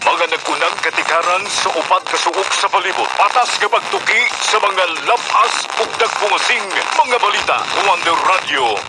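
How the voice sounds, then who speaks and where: High-pitched Filipino male radio announcer, noisy broadcast. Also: normal speed. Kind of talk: scripted promo and ad announcing.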